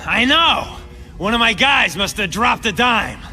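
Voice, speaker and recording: A man's voice: very high voice, lively intonation, measured pace, sharp tone, big-room sound, soft background music, exaggerated voice. A man voicing a cornered, jittery villain gang boss in a video game, blustering and stammering under pressure. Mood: grim warning. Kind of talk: video game cutscene acting.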